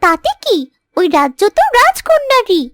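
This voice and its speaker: A performer voicing a small, loyal talking animal who coaxes a princess earnestly in an animated fairy tale. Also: extremely high pitch, normal speed, dry recording, studio quality, full character voice. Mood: eager, scheming.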